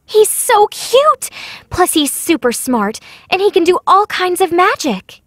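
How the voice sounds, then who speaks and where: A woman voicing an excitable, chatty young giant schoolgirl in an animated children's story. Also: very high-pitched, unhurried, background noise, exaggerated voice. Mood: gushing.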